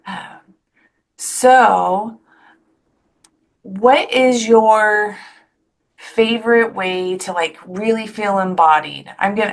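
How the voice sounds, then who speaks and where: A woman's voice: medium pitch, vocal fry. Chatty woman podcast host. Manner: chatty, enthusiastic, rambling asides. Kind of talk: casual interview chat.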